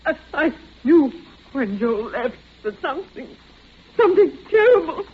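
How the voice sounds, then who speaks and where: A thin, phone-like old radio recording carries a frightened mother in a suspense play. Her voice is extremely high and she speaks slowly, haltingly and tearfully, pleading in a timid, distraught way. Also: sing-song. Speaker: actor. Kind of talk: 1940s radio acting.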